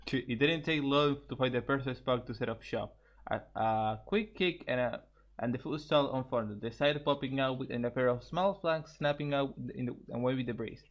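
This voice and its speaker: A man's voice: medium pitch, moderate pace, lo-fi sound. A man reading a story aloud informally, often stumbling and repeating phrases as he goes. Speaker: co-host reading fan fiction aloud.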